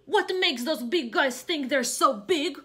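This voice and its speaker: A woman's voice: high voice, animated, bright, forceful tone, voice pushed up, heavily acted. Woman as an indignant, blustering big elephant. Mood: defiant. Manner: emphatic, drawn-out declarations.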